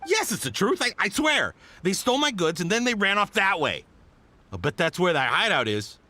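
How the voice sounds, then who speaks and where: Game merchant: shifty and flustered, high swooping voice, bright, stammering. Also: male voice, very animated, moderate pace, no room sound, exaggerated voice. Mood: defensive. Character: nervous, shifty male merchant protesting his innocence.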